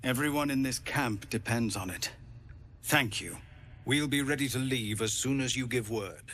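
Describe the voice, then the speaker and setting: An actor playing an earnest, burdened refugee leader in a fantasy game, speaking formally. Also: male voice, mid-range voice, moderate pace, a bit bright, faint music behind, natural voice. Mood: earnest, grave.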